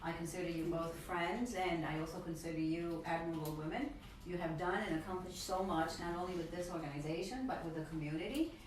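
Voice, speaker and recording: An expressive woman in her fifties, from Ecuador, telling her story as a talk-show guest. She sits well back from the microphone in a very echoey room. Steady background noise runs under her voice. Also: on the low side, fast talker. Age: 50s. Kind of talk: casual interview storytelling. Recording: echoey room, far from mic, noisy.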